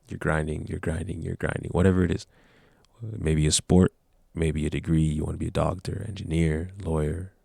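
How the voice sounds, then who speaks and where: A man's voice: sits low, gravelly, a little mellow, no echo, studio quality. A nineteen-year-old man talking casually to camera, giving earnest, rambling motivational advice. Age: young adult. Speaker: vlogger.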